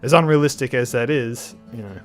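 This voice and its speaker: Man, average pitch, a bit nasal from a cold, rambling casual talk, soft guitar music underneath. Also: a little fast. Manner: rambling, hesitant, many restarts. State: slightly sick. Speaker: art channel host. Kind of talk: casual commentary over a speed drawing.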